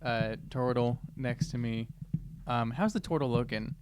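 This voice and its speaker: Slow-talking man playing a tabletop game. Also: medium pitch. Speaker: player. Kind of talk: casual tabletop game chat. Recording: noisy room recording with clicks.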